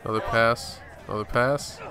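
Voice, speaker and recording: A man's voice: mid-range voice, fairly even intonation, normal speed. A man giving casual, joking and sometimes profane commentary as host of a gaming video. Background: video game audio.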